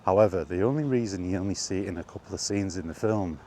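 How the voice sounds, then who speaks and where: A man chatting casually on his own, enthusiastic but halting, with frequent ums and restarts. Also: sits low, warm tone, a little hiss or hum. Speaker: vlogger. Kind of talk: casual rambling monologue. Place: outdoors, walking.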